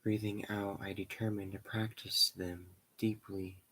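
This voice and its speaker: A man leading a guided meditation in slow, measured short phrases with pauses between them. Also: on the low side, flat delivery, sharp tone, breathy edge, rough recording.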